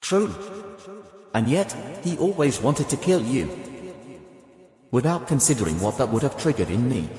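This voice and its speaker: A man's voice: medium pitch, very animated, moderate pace, long pauses, dark tone, lightly acted. A man playing a gallant, centuries-old count in an audio drama, formal and devoted, with echoey reverb. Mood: courtly, devoted. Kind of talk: scripted audio drama dialogue.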